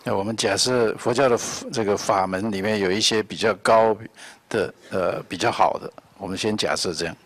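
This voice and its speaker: Low-voiced male lecture interpreter. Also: a little fast, a bit bright.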